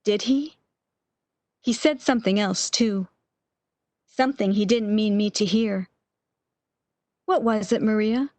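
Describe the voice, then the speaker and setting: A woman's voice: medium pitch, measured pace, pauses often, darkish tone, no echo, close to an everyday voice. A woman acting in a radio play as an anxious, regretful, bedridden sick woman with a fretful, pleading manner. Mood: weary, suspicious.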